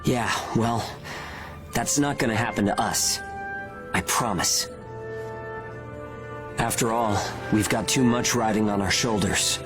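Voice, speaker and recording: An actor playing a calm, earnest and determined young male monster-hunting soldier in a video game cutscene. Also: on the low side, slow pace, long pauses. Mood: resolute. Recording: music behind the voice.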